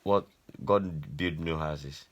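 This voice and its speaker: A man's voice: a bass voice, slow, a little hiss or hum. An older man with a Nigerian accent giving informal Bible teaching to family in a repetitive, exhorting way. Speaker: grandfather teaching a Bible story.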